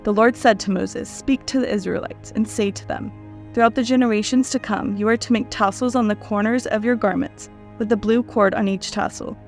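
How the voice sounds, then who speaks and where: An adult woman, a guest and reader, speaking at a medium pitch. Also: steady tone, a little fast, a little mellow, soft background music.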